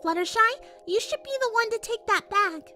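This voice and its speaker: Perky young cartoon mare, extremely high pitch, bright forceful tone, earnest, bossy, emphatic. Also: female voice, expressive, brisk, faint music behind, heavily acted. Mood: serious, urgent.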